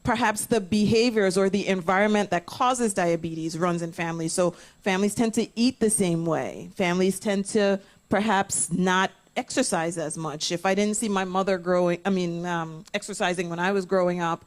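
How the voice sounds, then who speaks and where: A woman's voice: fairly low pitch, steady tone, moderate pace, hardly any pauses. A woman interviewer talking conversationally, reasoning aloud step by step in a reflective manner.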